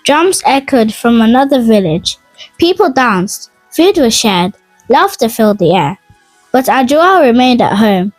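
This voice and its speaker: A woman narrating a scripted folk tale in a dramatic, expressive and measured storytelling manner. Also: on the high side, no room sound, poor audio. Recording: dry voice over music. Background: music.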